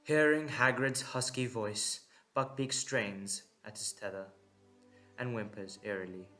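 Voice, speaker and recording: A man's voice: medium pitch, measured pace, sharp tone, faint music behind. A man giving dramatic scripted narration for an audio drama.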